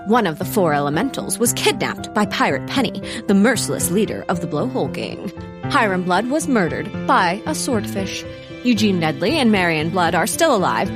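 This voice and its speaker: A woman's voice: medium pitch, close to an everyday voice. A woman playing a welcoming, playful narrator, giving theatrical scripted narration for an audio drama. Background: music.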